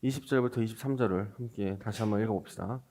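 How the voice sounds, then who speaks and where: A man's voice: on the low side, fast, darkish tone. A man preaching as a pastor, explaining scripture in a conversational way and putting questions to his listeners. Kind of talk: Sunday sermon with scripture reading.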